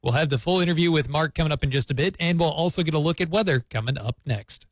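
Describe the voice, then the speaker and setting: A man's voice: on the high side, quick pace, no room sound. A man hosting on radio, presenting and interviewing in a conversational style. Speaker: radio host.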